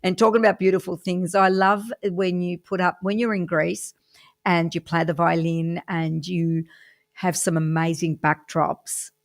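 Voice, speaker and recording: A woman hosts a radio interview in a gravelly, fry-laden voice pitched a little low. She keeps the guest going with quick bursts of praise. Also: little pitch movement. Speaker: radio show host.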